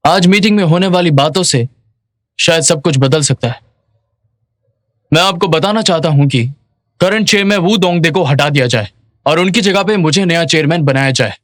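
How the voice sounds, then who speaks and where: A man's voice: on the high side, moderate pace, fairly bright tone, no echo, lightly acted. Man playing scheming businessman, dry close sound. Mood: formal, assured. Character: scheming, arrogant businessman seizing a company. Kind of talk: dubbed drama dialogue.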